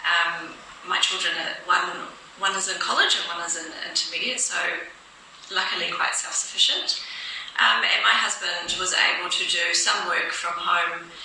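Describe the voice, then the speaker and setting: Earnest New Zealand nurse manager, bright tone. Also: female voice, fairly low pitch, moderate pace, gravelly. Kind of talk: casual interview. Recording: echoey room, distant mic, noise.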